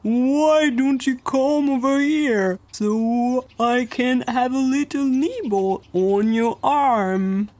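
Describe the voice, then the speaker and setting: Woman as a very slow, hungry cow. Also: high voice, darkish tone, dry recording. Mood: coaxing. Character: greedy, coaxing cow eager for a nibble. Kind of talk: children's audio story character acting.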